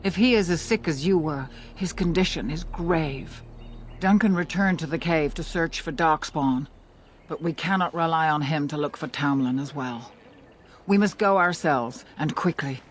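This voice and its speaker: A woman playing a grave, commanding older elf healer who leads her clan in a fantasy game, speaking formally and with measured solemnity. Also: low-pitched, slight background noise, natural voice. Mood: worried, urgent. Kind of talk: fantasy game dialogue acting. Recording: music behind the dialogue. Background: background music.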